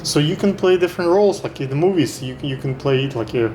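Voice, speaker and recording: A man's voice: a little high, expressive, fast, dark tone. A man hosting a casual interview who asks long, rambling questions and often breaks off to restart them. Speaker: interviewer, host. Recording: echoey room with faint noise.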